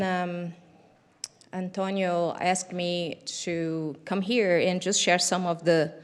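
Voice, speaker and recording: A woman with a Brazilian accent, a native Portuguese speaker, giving a halting public comment as a teacher. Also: mid-range voice. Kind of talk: public comment speech at a council meeting.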